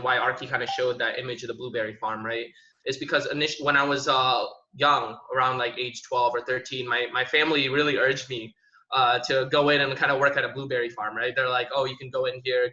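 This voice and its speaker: A young man from Indonesia on an online panel, telling his personal story in a relaxed, conversational way full of "you know" and "um". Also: medium pitch. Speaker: panel speaker, tech professional. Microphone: video call. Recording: echoey room, dull lo-fi audio.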